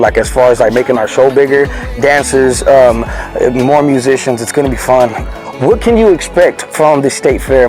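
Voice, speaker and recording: A man's voice: mid-range voice, low-quality recording. A man from Fort Worth with a Texan accent, a country singer talking earnestly in a documentary interview, repeating key phrases. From Fort Worth, Texas.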